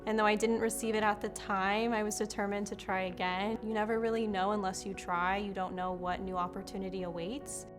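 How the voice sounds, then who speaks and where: A woman speaks in an interview testimonial. Her pitch is middling and moves very little, keeping an even line. Quiet music plays behind. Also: fairly quick, few pauses. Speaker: scholarship recipient.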